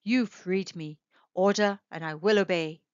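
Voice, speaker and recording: A woman voicing an ancient male stone knight, speaking slowly, low and grave, on a dull, dry recording. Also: no room sound, close to an everyday voice. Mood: solemn.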